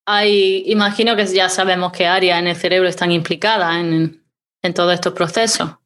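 Curious woman interviewer, lowish voice. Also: steady tone, fairly bright tone. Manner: curious, quick back-channel agreement. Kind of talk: conversational interview questions.

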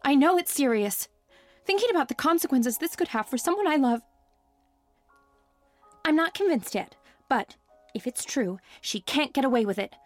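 A woman's voice: high voice, expressive, moderate pace, long pauses, no echo, faint music behind. A woman playing a brilliant, combative young student and sweetheart in a noir audio drama, talking in an arch, precise, articulate and teasing way. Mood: cool, indignant. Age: young adult.

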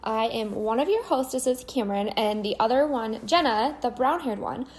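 A woman's voice: on the high side, lively intonation, moderate pace. Upbeat young woman podcast host. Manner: upbeat, chatty, uses fillers. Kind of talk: casual chat.

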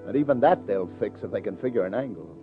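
An actor playing a middle-aged, wry and world-weary New police detective in a 1950s hard-boiled radio drama. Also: male voice, lowish voice, animated, fast talker, lightly acted. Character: seasoned, wry New York police detective, former boxer. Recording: muffled old radio broadcast, music behind.

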